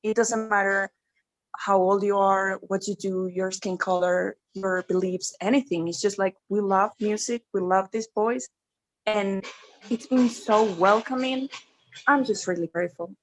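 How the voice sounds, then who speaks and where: A woman in her thirties whose first language is Spanish, speaking English with an earnest, effusive warmth as a livestream guest. Her voice sits in a middle register, and she talks openly and eagerly, often breaking into laughter. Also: medium pitch, fairly even intonation, a little slow, rough recording. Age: 30s. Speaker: guest, fellow YouTuber. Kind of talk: casual livestream chat.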